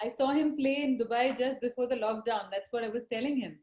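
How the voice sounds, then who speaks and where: A woman's voice: on the high side, a little mellow. Encouraging woman interviewer, echoey room. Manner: encouraging, quick follow-up questions. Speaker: interviewer, magazine journalist. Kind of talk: casual interview.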